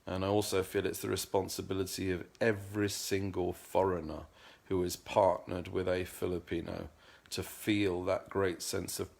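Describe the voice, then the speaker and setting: Deep gravelly man, earnest and halting. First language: English. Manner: earnest, repeats words while searching for them. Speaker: vlogger. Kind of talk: heartfelt casual monologue.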